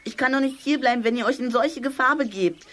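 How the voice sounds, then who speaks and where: A woman's voice: high voice, fast talker, a bit bright. A woman playing a tiny, anxious sprite who frets and wails dramatically in a children's radio play. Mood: fearful. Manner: panicky, dramatic wailing.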